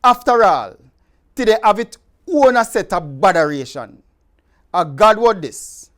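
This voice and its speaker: A man's voice: high-pitched, big pitch swings, unhurried, a bit bright. A man with a Jamaican accent reading scripture aloud to viewers in an emphatic, conversational way. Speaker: scripture reader. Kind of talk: expressive scripture reading in Patwa, addressed to viewers.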